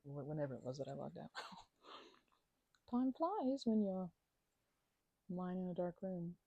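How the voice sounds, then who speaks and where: Young woman housemate played by an actress, ASMR roleplay: chatty, bashful, halting rambler, very animated pitch, mellow tone, dry recording. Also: gravelly, slightly rough, no room sound, full character voice, low-quality recording. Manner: rambling, halting, stammering, giggly asides. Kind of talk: ASMR roleplay, casual one-sided conversation.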